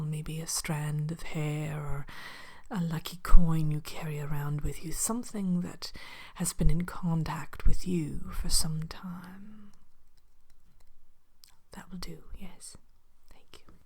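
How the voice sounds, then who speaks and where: A sly, courteous evil queen, played by a woman, murmurs to a customer in an ASMR roleplay. Her voice is low and dark, mostly a breathy whisper with a dry creak. She sounds genteel and playfully sinister as she tends her enchanted shop. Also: exaggerated voice.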